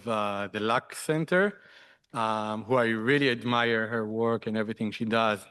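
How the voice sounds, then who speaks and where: A middle-aged man from Israel with an Israeli accent, giving halting remarks as a panelist with many restarts and fillers. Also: mid-range voice, fairly bright tone, clear, resonant tone.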